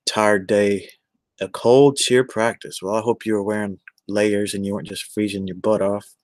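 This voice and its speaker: A man's voice: fairly low pitch, steady tone, moderate pace, dry recording. An American man in his forties chatting casually on a livestream, rambling and addressing viewers one by one. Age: 40s. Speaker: live streamer.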